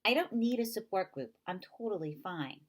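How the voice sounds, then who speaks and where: A woman's voice: mid-range voice, expressive, moderate pace, natural voice. A woman voicing a skeptical, reluctant schoolboy in a children's novel read aloud, with a guarded, grudging manner. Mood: defensive.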